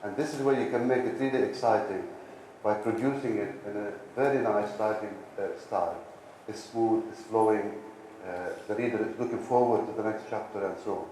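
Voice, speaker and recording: Echoey, low-voiced middle-aged man giving a keynote. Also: steady tone, a little fast, dark tone. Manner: emphatic, repeating key words for stress. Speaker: academic keynote speaker, university president. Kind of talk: conference keynote presentation. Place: indoor conference lecture room. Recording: echoey hall, distant, with background hiss.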